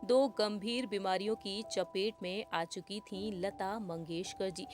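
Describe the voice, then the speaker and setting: A woman's voice: mid-range voice, steady tone, measured pace, soft background music. A woman presenter reading a scripted entertainment news report.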